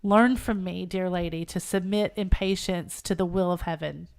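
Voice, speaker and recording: A woman voicing a gentle, resigned young woman condemned to death, solemn and earnest, in a novel reading. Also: fairly low pitch, steady tone, moderate pace, creaky, pitched below the performer's own voice. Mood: solemn resignation.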